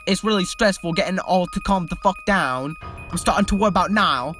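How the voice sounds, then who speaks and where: A reader voicing an anxious young male pop singer who rushes and stumbles through his words, with music behind. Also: medium pitch, a bit bright, natural voice. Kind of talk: reading fan fiction aloud.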